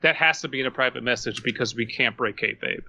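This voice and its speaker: A man's voice: mid-range voice, fast talker, creaky, sharp tone. A man co-hosting a podcast with casual, profane banter and an exasperated surfer-kid way of talking. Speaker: podcast co-host.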